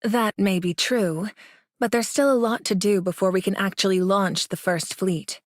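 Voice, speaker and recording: A woman acting as an earnest female commander in an anime game. Her voice is medium in pitch and closely recorded. She sounds formal, measured and devoted to duty. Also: steady tone, dry recording, studio quality, lightly acted. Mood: serious. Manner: formal, serious.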